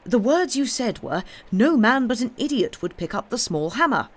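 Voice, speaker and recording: A man reading an audiobook, voicing a high-strung, agitated young male curate who speaks in an excitable, stammering, unsteady way. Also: normal speed. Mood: excited, triumphant. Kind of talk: audiobook character dialogue.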